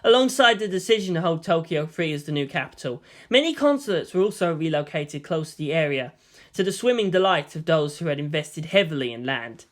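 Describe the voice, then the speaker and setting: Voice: medium pitch, expressive, fairly quick. A narrator giving a dramatic scripted reading of a story.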